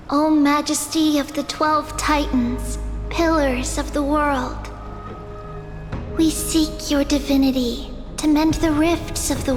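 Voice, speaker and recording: High-voiced solemn young priestess. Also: female voice. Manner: ceremonial, incantatory. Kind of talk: scripted ceremonial recitation in a game. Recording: long hall reverb with music behind.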